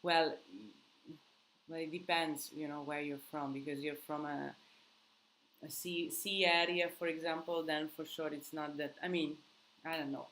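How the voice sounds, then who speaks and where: A woman's voice: deep voice, little pitch movement, unhurried, fairly bright tone, slight background noise, low-quality recording. A woman hosting a live Q&A in English that is not her first language, rambling casually with many restarts and fillers. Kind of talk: casual live-stream chat with viewers. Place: countryside home.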